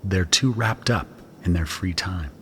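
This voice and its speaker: A man's voice: sits low, measured pace, sharp tone, breathy edge. A man narrating a scripted audio drama in the first person.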